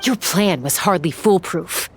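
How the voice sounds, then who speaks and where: An actress playing a defiant, principled young heroine in a video game, speaking in a firm, blunt, challenging way. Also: female voice, lowish voice, unhurried, a little hiss or hum. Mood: accusing. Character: defiant, principled young heroine loyal to her beast kin. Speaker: game character voice actor. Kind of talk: dramatic video game voice acting.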